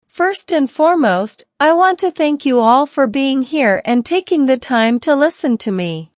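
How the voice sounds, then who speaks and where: High, lively woman reading slowly. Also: no echo, clean studio sound. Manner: clear, deliberate, evenly paced delivery. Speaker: English teacher reading a model speech. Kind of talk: slow scripted reading for repetition practice.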